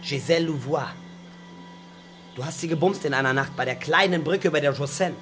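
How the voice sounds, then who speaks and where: A man's voice: a little high, fairly quick, over music, natural voice. An actor playing a bitter young man in a radio crime drama, taunting and threatening his father with contemptuous swearing.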